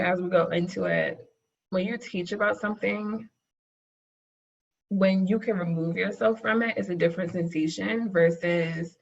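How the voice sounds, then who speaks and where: A woman co-teaching a webinar, chatting casually over a video call, chatty and quick to laugh. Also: fairly low pitch, vocal fry, dull audio. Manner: chatty, laughs often, says "like" a lot. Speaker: course co-teacher.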